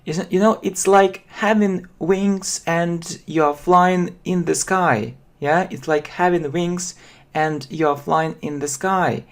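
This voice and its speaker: A man in his thirties with a Russian accent, teaching English slowly from a small room, stopping often to repeat and define phrases with plenty of fillers. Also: sits high, steady tone. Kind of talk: slow explanatory lesson.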